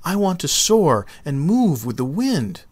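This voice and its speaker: A man's voice: a little high, very animated, a little slow, slightly rough, pitched above the performer's own voice, heavily acted. A man voicing an eager, dreamy little seed boy in a children's storybook, full of wide-eyed yearning. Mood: excited, longing. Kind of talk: children's storybook read-aloud.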